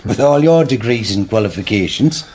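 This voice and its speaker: A man's voice: fairly low pitch, expressive, normal speed, a little mellow, husky. An actor playing a blunt, joshing old man. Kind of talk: radio drama acting.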